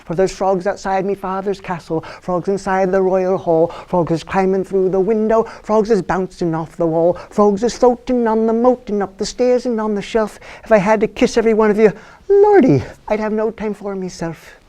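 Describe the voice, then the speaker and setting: A man voicing a sassy young princess who teases in brisk sing-song. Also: sits high, warm tone, pitched above the performer's own voice, natural voice. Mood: teasing.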